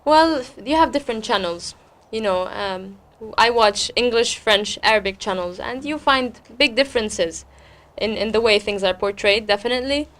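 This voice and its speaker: A woman's voice: mid-range voice, normal speed, fairly bright tone. A woman who is an interview guest and poet, speaking expressively and repeating words for weight. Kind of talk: interview talk and spoken-word poetry recital.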